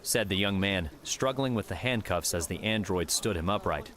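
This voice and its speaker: A man's voice: sits low, moderate pace, a bit bright. A man reading scripted audiobook narration as the storyteller.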